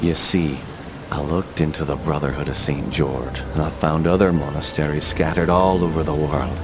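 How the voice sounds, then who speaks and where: A man's voice: rumbling low, steady tone, normal speed. An actor playing a brooding, haunted Irish adventurer in a video game, grave and dramatic in his delivery. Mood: weary, reflective. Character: brooding Irish paranormal adventurer, weary, haunted, defiant hero. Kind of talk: video game cutscene acting and narration. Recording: music and rain behind the voice.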